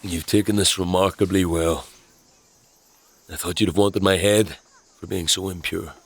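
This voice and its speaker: A gruff, gravelly low-voiced scavenger. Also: male voice, fairly even intonation, no echo. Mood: curious, earnest. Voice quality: gravelly, husky. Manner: hesitant, halting, dryly sarcastic. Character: gruff, troubled young male scavenger with inherited powers, wry. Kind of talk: dark fantasy audio drama acting.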